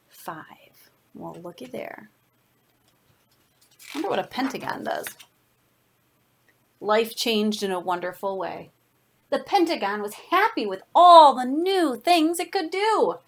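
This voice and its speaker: A woman reading a picture book aloud to children expressively, unhurriedly adding asides and pointing things out along the way. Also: a little high.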